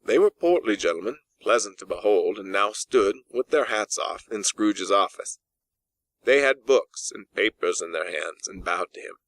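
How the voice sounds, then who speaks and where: A man reads literary narration as an audiobook narrator. His voice is bright and forceful, with expressive storytelling, captured close in a very dry recording. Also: lowish voice, moderate pace, dry recording.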